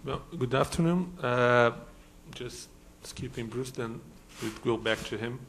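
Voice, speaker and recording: A man's voice: medium pitch, unhurried, fairly bright tone, a little hiss or hum. A man from Brazil with a Brazilian Portuguese accent, a law professor speaking at a conference, hesitant, with many fillers and restarts. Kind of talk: conference talk. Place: conference hall.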